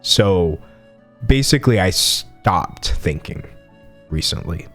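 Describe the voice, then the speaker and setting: A man in his early twenties giving casual comedic commentary as an online video host, self-mocking, with dramatic pauses and emphasis. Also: deep voice, expressive, fairly bright tone, faint music behind.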